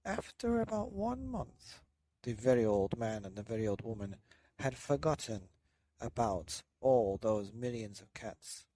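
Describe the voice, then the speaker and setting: A man narrating a bedtime story for a podcast, deliberate, with dramatic pauses. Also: mid-range voice, sing-song, warm tone, dry recording.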